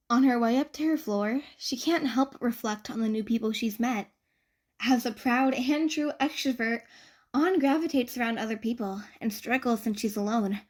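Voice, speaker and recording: A woman reading fan fiction aloud as a narrator, in an expressive audiobook style. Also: fairly high pitch, moderate pace.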